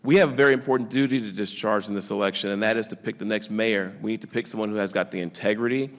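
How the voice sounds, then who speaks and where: Low-voiced man speaking in an echoing hall. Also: steady tone, quick pace. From Dallas, Texas, USA. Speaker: mayoral candidate, state legislator. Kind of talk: campaign speech at a candidate forum. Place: public forum hall. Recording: echoing hall with long reverb.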